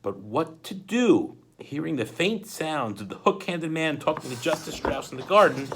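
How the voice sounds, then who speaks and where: A man reading a children's book aloud in a dramatic, expressive way, occasionally stumbling over words. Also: a little high, moderate pace, noisy background. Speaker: storybook reader, school principal.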